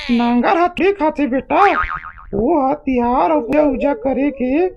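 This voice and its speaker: A woman's voice: sits high, vocal fry, lo-fi sound, full character voice. A woman voicing a doting, scolding yet patient village mother in a comedy cartoon, with a Chhattisgarhi accent. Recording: music behind the voice.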